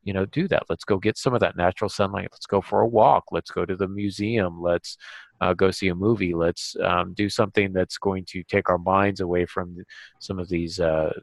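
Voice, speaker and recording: A man co-hosting a casual podcast discussion, speaking in a halting way as he thinks aloud. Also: deep voice, steady tone, gravelly, no echo. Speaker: podcast co-host.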